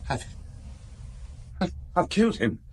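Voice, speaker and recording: A man's voice: slow, close to an everyday voice. A young actor playing a nervous junior police detective in a radio drama, hesitant and deferential, stumbling over his words. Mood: shaken. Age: young adult. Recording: radio drama with clear background hiss.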